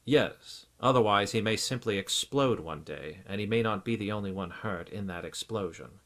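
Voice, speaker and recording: A man voicing a stout psychiatrist in an audiobook, grave and solicitous, with an earnest, deliberate, clinical manner. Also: fairly low pitch, a bit bright, close to an everyday voice. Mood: grave warning. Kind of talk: audiobook character acting.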